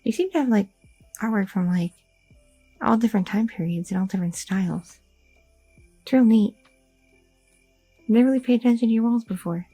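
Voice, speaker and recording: A woman's voice: on the high side, normal speed, pauses often, mellow, breathy edge, no room sound, faint music behind, poor audio. A woman voicing a curious, chatty young girlfriend in an ASMR roleplay, rambling with many fillers and nervous questions. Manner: rambling, inquisitive, filler-heavy talk.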